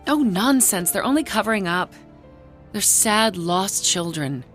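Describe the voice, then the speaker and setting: A woman's voice: mid-range voice, animated, measured pace, close to an everyday voice. Overbearing, disapproving aunt, played by a woman. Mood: insistent, disapproving. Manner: insistent, haughty, melodramatic. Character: overbearing, disapproving aunt fussing over bereaved children. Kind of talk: radio drama acting. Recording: studio radio play with music underneath.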